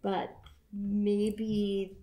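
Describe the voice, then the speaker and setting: A woman's voice: mid-range voice, completely flat delivery, extremely slow, warm tone. A middle-aged woman in casual unscripted chat, curious and opinionated as she offers her guesses. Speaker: guest guessing about characters.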